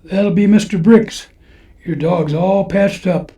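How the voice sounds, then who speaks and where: A man's voice: high-pitched, takes it slowly, dark tone. A man playing an eccentric, kindly church caretaker who talks in a folksy, knowing, preachy way in a western radio drama. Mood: cryptic, admonishing. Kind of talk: old-time radio western acting.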